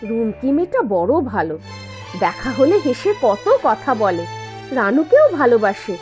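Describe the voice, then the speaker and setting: A woman's voice: a little high, sing-song, moderate pace, a little mellow, soft background music. A woman playing a poor but proud mother in a cartoon, earnest and easily hurt. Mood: earnest, caring. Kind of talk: cartoon voice acting.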